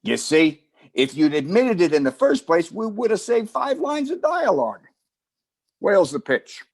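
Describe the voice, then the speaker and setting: A man playing a teasing, sardonic homicide inspector with a husky voice, bantering mock-dramatically in an old-time radio style. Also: high-pitched, big pitch swings, moderate pace, darkish tone, exaggerated voice. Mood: sarcastic banter.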